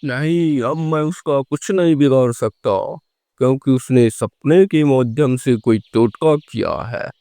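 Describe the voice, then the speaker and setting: A man voicing a grave, puzzled villager in an animated horror story, talking in a halting, deliberate way. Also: mid-range voice, no room sound, natural voice. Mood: grave concern.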